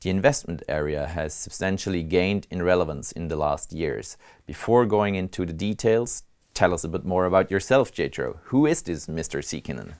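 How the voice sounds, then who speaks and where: A man with a deep, smooth voice hosts a podcast interview. He is friendly and teases his guest. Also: lively intonation, moderate pace, a little mellow. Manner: friendly, teasing. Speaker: podcast host.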